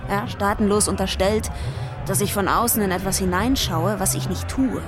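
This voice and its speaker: A woman's voice: mid-range voice, moderate pace, music in the background, lightly acted. A woman playing a cynical, foul-mouthed stateless ex-American trader in a German radio drama, blunt and opinionated. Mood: self-assured.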